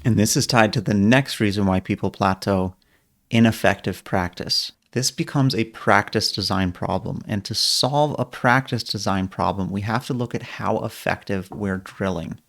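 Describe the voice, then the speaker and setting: A man speaks in a somewhat low voice, laying out a practice method one step at a time. His delivery is methodical and explanatory, like a coach teaching an online lesson. He sometimes restarts a phrase to get it right. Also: normal speed, vocal fry, a bit bright. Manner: methodical, explains step by step with brief self-corrections. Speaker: learning coach.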